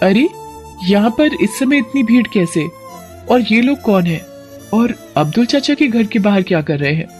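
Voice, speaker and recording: A woman's voice: medium pitch, expressive, moderate pace, darkish tone, soft background music, close to an everyday voice. A woman playing a naive, good-hearted young village woman in a Hindi cartoon story, speaking simply and earnestly. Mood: puzzled. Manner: simple, chatty, deferential, earnest. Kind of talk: Hindi animated story voice acting.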